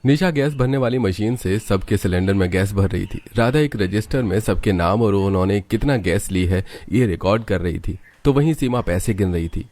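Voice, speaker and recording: A man's voice: lowish voice, expressive, normal speed, few pauses, darkish tone. A man narrating a scripted cartoon story as its storyteller.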